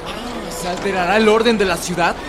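An actor playing an eager 1910s newspaper reporter questioning generals in a radio drama. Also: male voice, high-pitched, fairly quick, background noise, lightly acted. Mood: eager, formal. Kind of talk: Spanish-language historical radio drama acting. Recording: street crowd bustle behind.

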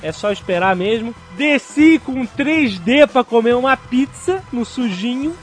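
A Brazilian man hosting a podcast, chatting excitedly and casually with his co-hosts. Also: very high voice, unhurried, soft background music. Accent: Brazilian Portuguese. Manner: excited, chatty, keeps saying "cara". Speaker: podcast host. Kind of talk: casual podcast chat and sponsor read.

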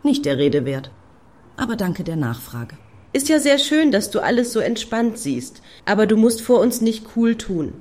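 A woman's voice: medium pitch, very animated, moderate pace, a little mellow. An actress playing an unfazed woman among friends in radio play dialogue. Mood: brisk, nonchalant.

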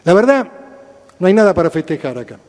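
A man's voice: sits high, sing-song, fast, darkish tone. A man making a political statement. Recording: long-reverb hall clip.

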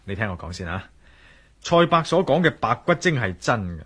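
A man's voice: medium pitch, big pitch swings, brisk, a bit bright, husky. An actor playing a concerned, persistent man investigating a mystery in a Cantonese radio drama, with a Hong Kong accent. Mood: insistent. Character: concerned, persistent male investigator, earnest and coaxing.

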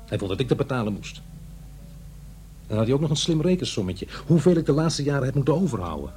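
A man's voice: mid-range voice, big pitch swings, fast talker, a little mellow, soft background music, natural voice. An actor playing an awkward, defensive divorced father in middle age in a radio play, with a hesitant delivery. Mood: defensive, indignant. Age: middle-aged. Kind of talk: radio play acting, naturalistic dialogue and narration.

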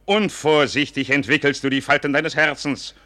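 An actor playing a stern, imperious royal commander, formal and declamatory, in a 1940s German radio drama. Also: male voice, fairly high pitch, steady tone, moderate pace, sharp tone. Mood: cutting, controlled.

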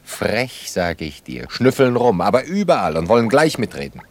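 A man's voice: on the low side, sing-song, normal speed. An actor playing a wry, teasing man who acts out the part of husband and father in an intimate radio drama.

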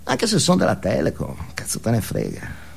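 A man's voice: medium pitch, huge pitch swings, normal speed, gravelly, husky, exaggerated voice. A male stage actor playing a ranting, self-justifying, foul-mouthed family man in a satirical comic monologue, agitated and forever tagging his sentences with questions. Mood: defensive, menacing. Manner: agitated, swearing, punctuates with "no?" and "eh?".

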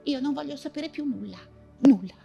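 An actress playing an anguished woman writer and mother fleeing persecution in a wartime stage monologue, theatrical and halting, with anxious repetitions. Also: high-pitched, animated, gravelly, mellow, faint music behind, heavily acted. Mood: frantic denial.

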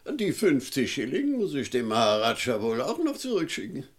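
Animated, flustered genteel professor. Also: male voice, medium pitch, moderate pace. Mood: wry, weary. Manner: theatrical, courtly, easily exasperated. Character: flustered, genteel, long-suffering professor, master of the house. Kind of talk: German comic radio crime play acting.

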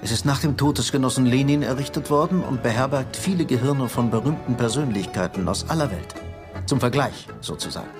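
An actor in a radio drama playing a scheming, secretive Soviet security chief who speaks in a conspiratorial, patronising, insistent way. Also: male voice, mid-range voice, brisk, music in the background, natural voice. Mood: explaining.

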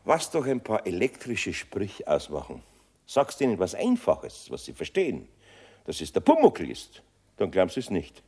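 An actor playing a gruff but good-natured older master craftsman with a Bavarian accent and a husky voice, in a children's radio play. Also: sits low, wildly swooping pitch, moderate pace, warm tone, full character voice. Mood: amused, musing. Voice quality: gravelly, husky.

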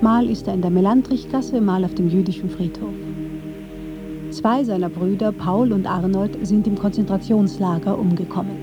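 Woman narrator, mid-pitched, measured factual delivery, scripted radio documentary, slightly dull recording, music behind. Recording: slightly dull, band-limited sound.

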